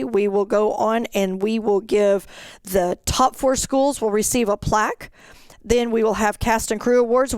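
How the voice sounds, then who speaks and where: A woman's voice: fairly high pitch, a little mellow, no echo. A woman giving an informal, plain-spoken and instructive presentation as an event organizer.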